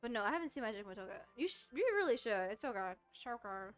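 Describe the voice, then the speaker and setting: A woman with a fairly high voice plays and reads a visual novel for viewers. She is playful and rambles casually, with music behind her. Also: lively intonation, fast. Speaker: streamer playing and reading a visual novel. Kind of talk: casual let's-play chat and read-aloud.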